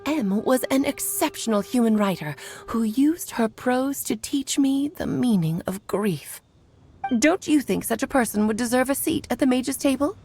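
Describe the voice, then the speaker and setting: A worldly, teasing mage mother from a fantasy video game. Her voice sits fairly high and moves through animated, theatrical swings, with eloquent phrasing over background music. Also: moderate pace. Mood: warm, intrigued. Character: playful, worldly elder mage and doting mother. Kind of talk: scripted video game voice acting.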